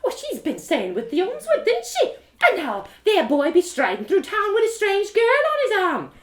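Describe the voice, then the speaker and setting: A woman's voice: very high-pitched, huge pitch swings, normal speed, pitched above the performer's own voice, heavily acted. A woman voicing nosy, scandalized rustic village gossips in a fantasy audio drama, exclaiming in folksy phrases. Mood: scandalized, gossipy. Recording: roomy home live reading.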